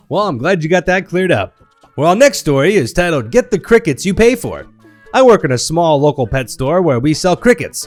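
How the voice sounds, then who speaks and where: A man reading online stories aloud in a playful, wry storytelling manner. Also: on the high side. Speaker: narrator reading Reddit stories.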